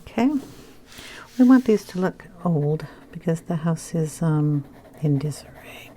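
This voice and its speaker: A woman narrating a coloring tutorial, soft-spoken and unhurried, often slipping into a whisper as she loses her voice. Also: low voice, expressive. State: losing her voice. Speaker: coloring channel host.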